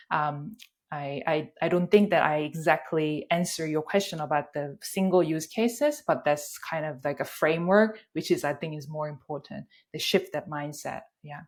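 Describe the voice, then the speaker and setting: Woman, podcast guest, low voice, earnest, lots of fillers. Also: a little mellow. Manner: earnest, searching for words with many fillers. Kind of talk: casual conversational podcast.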